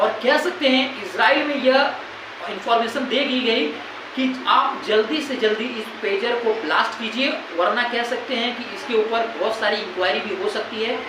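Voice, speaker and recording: A woman's voice: on the high side, expressive, moderate pace. A woman with an Indian accent presenting an informal current-affairs explainer in an explanatory, repetitive way. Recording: very echoey room, loud background noise.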